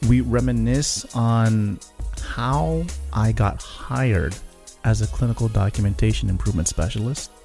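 A man's voice: medium pitch, vocal fry, darkish tone. Male podcast host over music. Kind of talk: podcast intro and interview chat.

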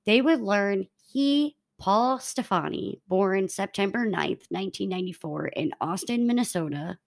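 Young woman podcast co-host, mid-pitch, narrating a true-crime case from notes, a few restarts. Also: measured pace, creaky.

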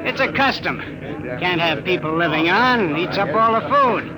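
A gruff, blunt townsman. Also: sits high, expressive, moderate pace, low-quality recording. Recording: 1950s radio drama, crowd behind.

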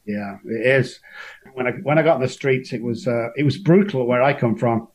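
A middle-aged man from Manchester speaks with a strong local accent at an ordinary pitch. He tells his story earnestly and emotionally, often stopping and restarting his sentences. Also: normal speed. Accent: Manchester English. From Manchester, England. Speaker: guest. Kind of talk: personal recovery story.

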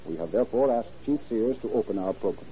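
A man announcing on 1930s radio in a polished, formal, scripted style that suits sponsor pitches and narration. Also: expressive, fast, mellow. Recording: muffled old 1930s radio broadcast recording.

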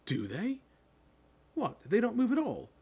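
Man as young schoolboy, blunt, chatty, casual, animated pitch, husky edge, storybook character. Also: fairly quick. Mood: amazed, chatty. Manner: matter-of-fact, chatty.

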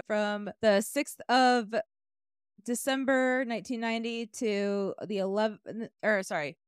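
A young woman hosting a casual podcast chat, swearing freely, excitable and quick to apologize. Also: a little high, smooth, no echo, studio quality. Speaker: podcast host presenting research.

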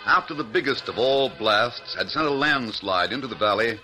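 Old-time radio narrator, bright. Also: male voice, mid-range voice, lively intonation, normal speed, faint music behind, poor audio.

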